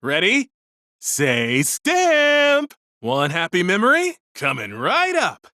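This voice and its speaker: Game bit part: street vendor and announcer, sharp bright tone, very varied pitch, dry sound. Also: wildly swooping pitch, slow, no echo, full character voice. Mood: cheery. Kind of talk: video game character acting.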